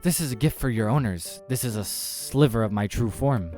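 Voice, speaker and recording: Man voicing a rough, haughty female eldritch being. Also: medium pitch, normal speed, a little mellow, faint music behind, pitched above the performer's own voice, natural voice. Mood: imperious, satisfied. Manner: stilted, formal, commanding.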